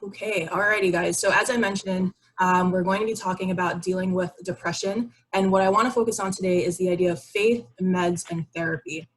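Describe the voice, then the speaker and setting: A woman's voice: on the low side, steady tone, brisk, hardly any pauses, rough recording. A young woman giving an informal, explanatory educational presentation in a conversational way over a video call. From Barbados. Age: young adult. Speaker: psychiatry resident giving a presentation. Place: online video meeting with shared slides.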